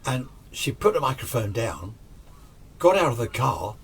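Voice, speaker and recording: A man's voice: mid-range voice, sing-song, a little slow, creaky, slight background noise. A British man telling a personal story informally and conversationally, acting out what each person said. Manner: conversational, acts out reported dialogue.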